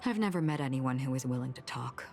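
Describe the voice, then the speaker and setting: An actress playing a melancholy, forgetful swordswoman in a game, speaking in a measured, soft and reflective way. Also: extremely low pitch, animated, slight background noise. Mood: calm, descriptive. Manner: measured, solemn, soft-spoken. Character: melancholy, forgetful swordswoman, calm and enigmatic wanderer. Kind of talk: scripted game dialogue, reflective storytelling.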